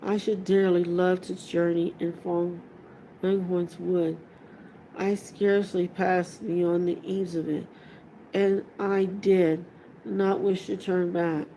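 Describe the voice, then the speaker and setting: A woman's voice: fairly low pitch, flat delivery, slow, dark tone, slight background noise, low-quality recording. A woman voicing a courteous, loyal male elf in a storybook, with formal, earnest phrasing. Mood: eager.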